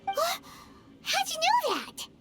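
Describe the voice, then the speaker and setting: A tiny, excitable fairy girl from a video game, extremely high and squeaky. Her chirpy chatter swoops wildly up and down as she exclaims over things. Loud music plays behind her. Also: takes it slowly, full character voice. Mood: excited, cheeky. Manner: exclamatory, chatty, speaks of herself by name. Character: small childlike floating fairy girl companion, excitable and chirpy. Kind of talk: animated video game character dialogue.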